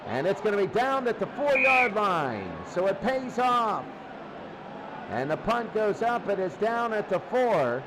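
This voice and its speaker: Male football play-by-play caller, high-pitched, excitable and repetitive, over a loud noisy background. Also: a little slow. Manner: excitable, short repetitive phrases. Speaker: play-by-play sports commentator. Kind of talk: American football radio-style commentary.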